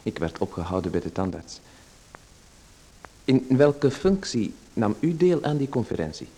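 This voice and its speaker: A man's voice: on the low side, very animated, measured pace, dark tone, husky, exaggerated voice, poor audio. A man playing a flustered, apologetic playwright. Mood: nervous. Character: nervous, overly polite male playwright. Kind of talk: radio play acting.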